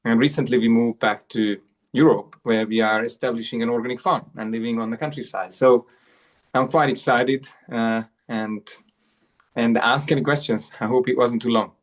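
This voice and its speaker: A man's voice: mid-range voice, steady tone, normal speed. A man in his forties from Hungary, a coach telling his life story as an interview guest, with frequent fillers and repeated words. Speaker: guest, self-worth coach.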